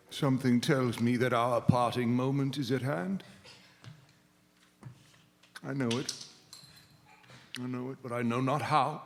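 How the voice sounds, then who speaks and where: An actor playing a frightened, repentant old miser in live radio theater, pleading in a halting, theatrical way. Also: male voice, mid-range voice, fairly bright tone, slight background noise. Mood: fearful, solemn. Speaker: lead stage radio actor. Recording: reverberant hall, music behind.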